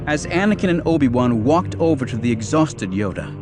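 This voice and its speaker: A man narrates an audiobook in a dramatic, scripted storytelling style. His mid-pitched voice is very lively in its inflection, and music plays behind it. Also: fairly quick.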